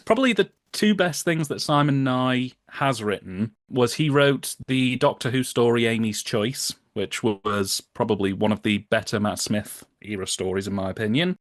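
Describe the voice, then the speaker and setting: A man's voice: medium pitch, moderate pace, no room sound. British man, close dry sound, wry, chatty, informative, podcast guest explaining. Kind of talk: casual explanatory podcast chat.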